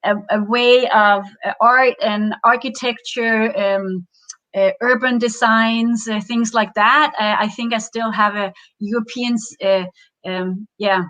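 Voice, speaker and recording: A woman's voice: on the high side, steady tone, a little slow, a bit bright. A Danish woman guest in an interview, talking with many fillers and restarts. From Denmark. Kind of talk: interview conversation. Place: home in Oregon woods.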